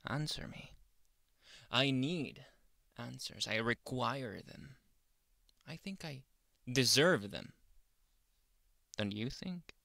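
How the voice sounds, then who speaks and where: A man playing a grumpy, possessive yandere boyfriend in an ASMR roleplay, brooding and theatrical, often dropping into breathy whispers close to the mic. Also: mid-range voice, expressive, slow, pauses often, sharp tone, dry recording, full character voice. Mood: pleading, indignant. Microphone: close ASMR microphone.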